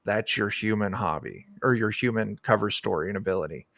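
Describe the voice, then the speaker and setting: A man's voice: fairly low pitch, brisk, vocal fry, fairly bright tone, no echo, studio quality. A man explaining things informally as a guest in a casual interview chat. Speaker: game designer guest.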